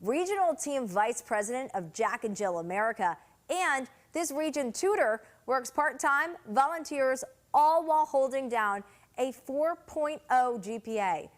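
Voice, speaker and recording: Bright-voiced female news anchor. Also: sits high, animated, measured pace. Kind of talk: scripted news presentation.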